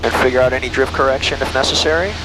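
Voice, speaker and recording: A man's voice: mid-range voice, steady tone, very fast. A middle-aged American man training as a pilot, talking through procedures and making radio calls over an aviation headset. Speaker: student pilot. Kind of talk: cockpit talk and radio calls. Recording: aviation headset audio with engine noise.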